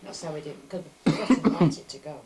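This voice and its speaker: Elderly woman, low voice, hesitant, faint background hiss. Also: fairly quick, mellow. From Manchester, England. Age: older. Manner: hesitant, repeats herself. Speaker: interviewee. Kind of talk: casual family chat.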